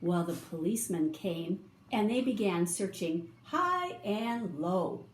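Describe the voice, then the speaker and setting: A woman reading a children's picture book aloud, with expressive, drawn-out emphasis for young listeners. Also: fairly low pitch. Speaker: storybook reader. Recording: roomy echo, faint background noise.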